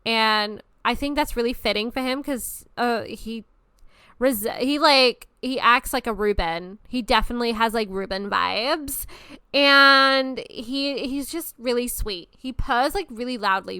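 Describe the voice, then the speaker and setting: A woman's voice: fairly high pitch, pushed and bright, clean tone, no room sound, studio quality. A woman in her twenties hosting a podcast, talking in a casual, rambling and gushing way, swearing often. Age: 20s. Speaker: podcast host. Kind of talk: casual monologue.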